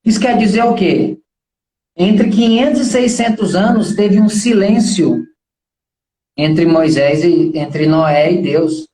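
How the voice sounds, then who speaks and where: High-voiced Brazilian man in an echoey room. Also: slow pace, dark tone. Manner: earnest, colloquial, preachy, with rhetorical questions. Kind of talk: informal motivational talk in a live stream.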